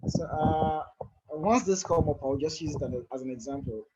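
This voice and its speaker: A man presenting a live software tutorial over a video call, talking in a loose, conversational way that often repeats and restarts phrases. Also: fairly high pitch, normal speed, muffled recording, noisy background.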